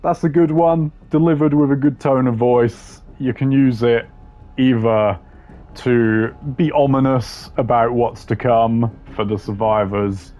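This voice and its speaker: A man's voice: mid-range voice, a little mellow. A man giving casual, slangy tier-list commentary in a blunt, opinionated way. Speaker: commentator ranking game voice lines.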